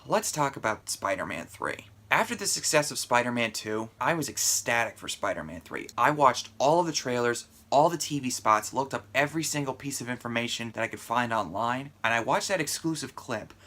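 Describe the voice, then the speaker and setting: Male video reviewer, punchy bright tone with slight sore-throat congestion, conversational and opinionated commentary. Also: medium pitch, lively intonation, brisk, few pauses. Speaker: movie reviewer.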